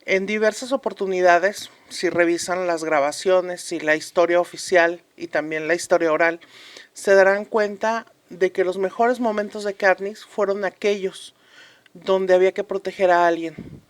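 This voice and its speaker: A woman's voice: fairly low pitch, fairly even intonation, normal speed, close to an everyday voice. A woman playing an earnest commentator from a fictional republic in a radio drama, speaking solemnly and emphatically in scripted interview style. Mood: earnest, reflective.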